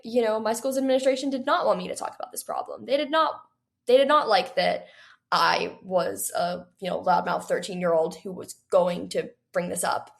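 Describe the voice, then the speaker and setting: Young woman with noticeable vocal fry. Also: mid-range voice. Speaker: guest, young adult author. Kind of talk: conversational interview answers.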